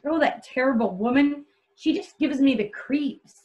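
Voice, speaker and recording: A woman's voice: high-pitched, a little slow. A woman playing an anxious, devoted young wife in a stage play table read, with a genteel, earnest, placating manner. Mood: weary, fond. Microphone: video call. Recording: dull video-call audio.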